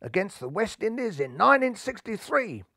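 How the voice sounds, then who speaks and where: A man's voice: sits high, very animated, normal speed, gravelly, pushed and bright, no room sound, pitched above the performer's own voice, full character voice. Man putting on an old-fashioned rabbit sports broadcaster, earnest, marvelling, storybook cricket commentary, dry sound. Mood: marvelling, reminiscing.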